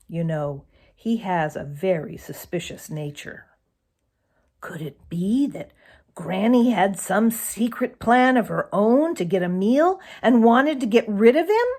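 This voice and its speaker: A woman reading a children's animal story aloud as narrator, in an expressive storybook style. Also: mid-range voice.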